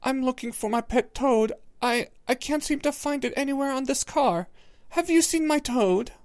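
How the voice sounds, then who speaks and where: A timid, trembling little boy in a storybook reading, speaking in a tiny, quavering, stammering voice, as a male narrator puts him on. He sounds high and small, with a dry close sound. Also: normal speed, no room sound.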